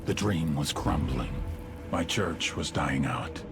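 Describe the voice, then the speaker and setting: An actor playing a brooding, menacing, proud cartel boss, speaking gravely and with command in a dramatic scripted style. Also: male voice, rumbling low, expressive, takes it slowly, over loud music, exaggerated voice. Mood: brooding, regretful. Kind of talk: dramatic scripted narration and dialogue.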